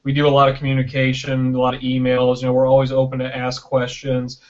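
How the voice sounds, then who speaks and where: A man's voice: mid-range voice, monotone, fast talker. An American man speaking casually as an interview guest on an online video call, conversational and often checking in with "right?". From Michigan, United States. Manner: conversational, peppers talk with "you know" and "right?", acts out imagined quotes. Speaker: interview guest, film producer and director. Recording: dull lo-fi internet call audio.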